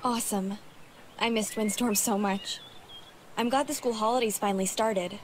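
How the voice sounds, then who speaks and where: A woman's voice: medium pitch, fairly even intonation, moderate pace, natural voice. A woman voicing an eager, earnest teenage girl who loves her horse, in a video game. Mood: happy, eager. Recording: loud game background noise.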